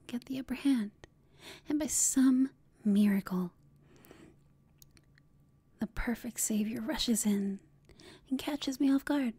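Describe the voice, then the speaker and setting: Breathy, whispering villainess played by a woman, smugly flirtatious. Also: fairly high pitch, warm tone, no echo, full character voice. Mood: smug seductive. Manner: smug, teasing, seductive. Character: confident teasing female villain, flirting with an enemy. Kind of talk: ASMR roleplay, intimate and whispered.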